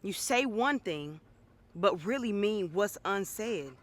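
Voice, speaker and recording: A woman playing a wounded, quietly resentful girlfriend in a poetic, deliberate dramatic monologue. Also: medium pitch, expressive. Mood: wounded, confessional. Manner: poetic, deliberate, emotional delivery. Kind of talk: dramatic spoken-word monologue. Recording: music playing behind.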